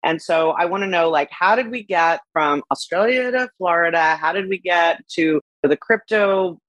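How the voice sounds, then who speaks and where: A woman's voice: lowish voice, moderate pace, fairly bright tone, no room sound, dull audio. A woman hosting an interview podcast, gushing and upbeat as she welcomes and questions her guest. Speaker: podcast host. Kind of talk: casual interview chat.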